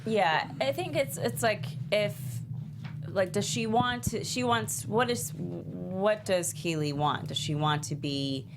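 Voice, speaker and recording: A woman giving feedback in a casual workshop discussion, halting and filler-heavy as she thinks aloud. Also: mid-range voice, background noise. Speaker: panelist giving script feedback.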